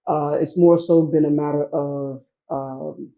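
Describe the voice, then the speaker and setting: Halting man with a dark, flat voice. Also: on the high side, creaky, faint music behind, rough recording. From Florida. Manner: halting, searching for words. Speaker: panel guest. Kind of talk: casual discussion.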